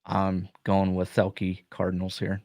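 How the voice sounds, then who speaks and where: A man's voice: deep voice, completely flat delivery, measured pace, a little mellow, no echo. Man with a worn, fading voice. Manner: opinionated, jokey football picks. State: losing his voice. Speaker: podcast host. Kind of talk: casual sports podcast chat.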